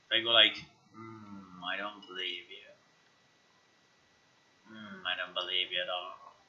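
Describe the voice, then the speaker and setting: A man live-streaming as a game developer, chatting casually and haltingly as he thinks aloud while demonstrating software. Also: low voice, level tone, lo-fi sound.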